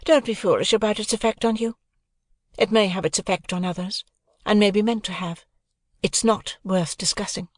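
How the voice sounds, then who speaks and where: A woman's voice: medium pitch, moderate pace, no room sound, studio quality, pitched above the performer's own voice, natural voice. A woman voicing a proud, elegant young society beauty in an audiobook, with cool, careless disdain. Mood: dismissive, proud. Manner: detached, careless, teasing disdain.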